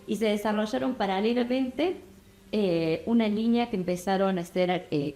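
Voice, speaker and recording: Reflective Argentine woman painter, soft music. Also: medium pitch. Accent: Argentine Spanish. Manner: reflective, measured storytelling. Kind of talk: documentary interview.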